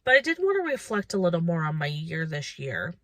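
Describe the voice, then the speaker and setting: A woman's voice: fairly low pitch, big pitch swings, brisk, a bit bright, dry recording, clean studio sound. A woman of about thirty chatting casually and reflectively to her viewers as a lifestyle vlogger, informal and thinking aloud.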